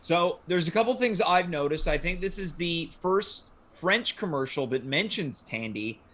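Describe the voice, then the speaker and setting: A man's voice: on the high side, animated, moderate pace, fairly bright tone. A man hosting a casual panel chat. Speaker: talk show host.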